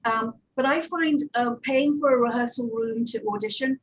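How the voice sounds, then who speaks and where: A woman's voice: a little high, moderate pace, faint music behind, low-quality recording. A woman speaking conversationally on a conference panel, thinking aloud with some hesitation as she explains her work. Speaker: panel speaker, audio drama producer.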